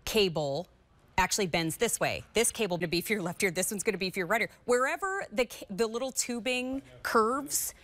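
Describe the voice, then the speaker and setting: A woman's voice: mid-range voice, lively intonation, fairly quick, a bit bright, dry recording. A woman co-hosting a casual chat, chatty and enthusiastic. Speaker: co-host.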